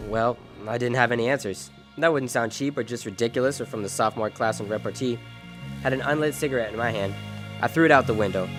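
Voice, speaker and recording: A man acts out a hard-boiled private detective with a medium-pitched voice. He is terse, wry and wisecracking, telling his story and trading lines in radio noir style. Faint music sits behind him. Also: quick pace, fairly bright tone, natural voice. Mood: grim narrating. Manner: wry, terse, tough-talking. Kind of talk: noir radio drama acting and first-person narration.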